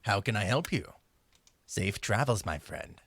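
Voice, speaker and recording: A man's voice: fairly low pitch, big pitch swings, unhurried, a bit bright, breathy edge, no echo, exaggerated voice. An actor playing a male merchant in a fantasy video game, speaking short lines to customers. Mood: courteous, businesslike. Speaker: game vendor voice clip.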